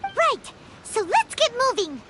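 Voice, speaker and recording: A woman voicing a tiny, perky childlike fairy companion in a video game, squeaky and excitable. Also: slow pace, heavily acted. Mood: eager. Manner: excitable, exclamatory. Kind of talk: English video game voice acting. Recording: game music behind the voice.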